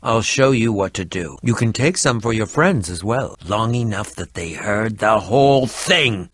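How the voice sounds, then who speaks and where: A haughty, eccentric alchemist from a video game. His voice sits high and swoops widely, with a bright, forceful edge. He is pompous, theatrical and quick to take offence. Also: dry recording, studio quality, heavily acted. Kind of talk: animated video game voice acting.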